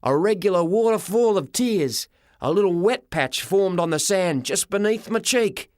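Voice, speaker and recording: A man narrating a first-person story for an audiobook, dramatic and expressive, in short measured phrases. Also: sits high, no echo, clean studio sound.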